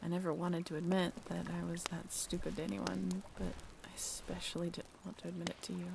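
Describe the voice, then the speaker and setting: A woman playing a shy, earnest half-mermaid in an intimate audio roleplay, hesitant and soft-spoken, often slipping into breathy whispers. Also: lowish voice, completely flat delivery, fairly quick, noisy background. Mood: vulnerable, confessional.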